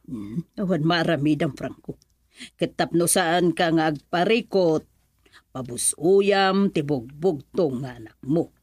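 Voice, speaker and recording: A woman voicing a caring older aunt in an Ilocano radio drama, with an Ilocano Filipino accent and expressive interjections. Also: low voice, a little slow, breathy edge, no echo, studio quality. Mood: earnest, advising. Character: caring older aunt, advising her relatives.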